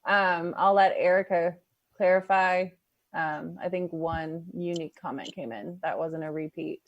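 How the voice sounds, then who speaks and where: Woman on staff chatting in a meeting, lowish voice, slightly muffled. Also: a little slow. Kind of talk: casual meeting exchange. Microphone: phone or conference call.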